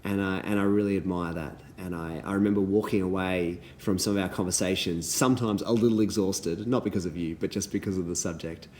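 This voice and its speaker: Man, deep voice, a bit hoarse; earnest, halting thank-yous full of ums. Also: steady tone, slight background noise. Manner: warm, earnest encouragement with many ums and restarts. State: slightly hoarse, losing his voice. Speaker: youth ministry leader. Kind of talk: recorded personal thank-you messages.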